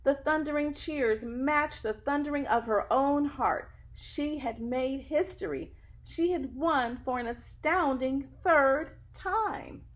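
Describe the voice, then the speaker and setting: A woman's voice: high voice, takes it slowly. A woman reading a picture book aloud expressively and dramatically, adding chatty asides straight to her listeners. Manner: dramatic, engaging, addressing listeners directly. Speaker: read-aloud storyteller.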